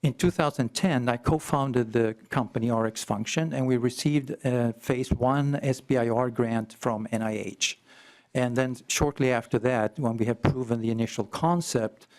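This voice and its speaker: A man's voice: medium pitch, darkish tone. Middle-aged man giving committee testimony. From Iceland, raised in Sweden. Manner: measured, with fillers and restarts. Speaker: testifying company co-founder. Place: legislative hearing room.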